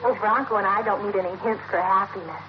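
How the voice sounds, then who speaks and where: An actress playing a polite, gently defensive young American wife and mother in 1950s radio comedy. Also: medium pitch, steady tone, quick pace, close to an everyday voice. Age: young adult. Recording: noisy, muffled old radio broadcast.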